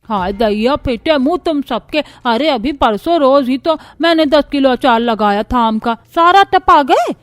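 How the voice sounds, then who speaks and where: A woman's voice: expressive, a little slow, few pauses, no room sound. A woman voicing a cranky, scolding old grandmother-in-law who curses everyone in a cartoon story. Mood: furious. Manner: shrill, cursing, scolding.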